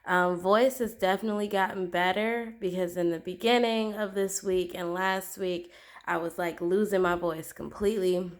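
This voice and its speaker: A woman with a head cold and a sore throat, chatting casually at her desk at home while comparing planners, clearing her throat now and then. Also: on the low side, steady tone, normal speed. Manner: chatty, loose, with many ums and likes. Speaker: planner vlogger. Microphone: camera aimed down at the desk, speaker off frame.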